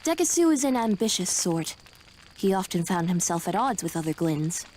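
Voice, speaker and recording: A woman's voice: on the low side, big pitch swings, moderate pace, darkish tone, slight background noise. A woman voicing a composed, courteous, centuries-old genderless tree-born being in an anime video game, formal and articulate.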